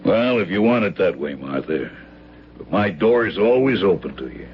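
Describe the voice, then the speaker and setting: A man's voice: sits low, very animated, normal speed, slight background noise. A man acting a suave, guilty man prone to hysterical terror in an old-time radio drama. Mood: smooth, confident. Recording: dull old radio recording, loud music.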